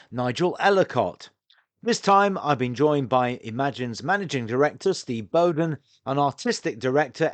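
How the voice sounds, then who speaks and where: A man's voice: a little high, dry recording. A man presenting a podcast and putting questions to guests as the interviewer.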